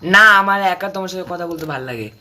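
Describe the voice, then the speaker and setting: A clingy grown son played by a man with a bright, forward voice. He speaks in short, casual replies, as in a domestic phone-call drama. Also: high-pitched, a little fast. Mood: clingy, insistent.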